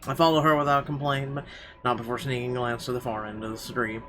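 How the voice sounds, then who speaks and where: A man reading a horror visual novel aloud in an expressive, theatrical narration with weighty pauses. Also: mid-range voice. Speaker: let's player. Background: game music.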